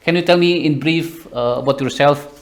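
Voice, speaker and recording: A man hosting a podcast interview, asking questions in hesitant, halting phrasing. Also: on the high side. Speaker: podcast host.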